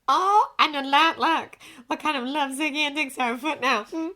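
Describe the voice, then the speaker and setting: A woman's voice: high voice, moderate pace, sharp tone, voice pushed up. A woman voicing a gushing, giggly young female protege with a teasing manner in a story.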